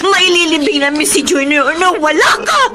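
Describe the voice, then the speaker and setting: Furious tearful wife, very high lively voice, music behind. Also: female voice, moderate pace. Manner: shouting, tearful. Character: wounded, bitter young wife and mother. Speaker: wife in radio drama. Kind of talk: radio drama acting. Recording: music bed under voice.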